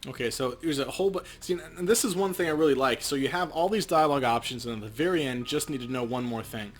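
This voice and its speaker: A man's voice: medium pitch, quick pace. A man co-hosting a let's play, reading game text like a narrator with casual asides.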